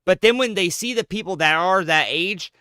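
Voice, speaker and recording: A man's voice: high-pitched, moderate pace, clean tone, dry recording, studio quality. A man in his thirties, a gaming streamer chatting casually with jokes, swearing and playful banter. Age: 30s. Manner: joking, profane, animated banter. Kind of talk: casual chat while gaming.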